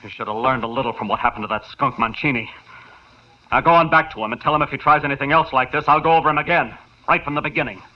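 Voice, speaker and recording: A man's voice: mid-range voice, quick pace, natural voice. An actor playing a hot-headed, gullible young man in trouble, earnest and impulsive, in 1950s radio drama style. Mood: threatening, angry. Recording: dull vintage 1950s radio recording.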